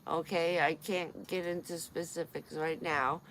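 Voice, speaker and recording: A woman's voice: deep voice, steady tone, a little slow, fairly bright tone, slight background noise, rough recording. A woman in her fifties, a guest in a casual chat, talking bluntly and swearing freely. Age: 50s.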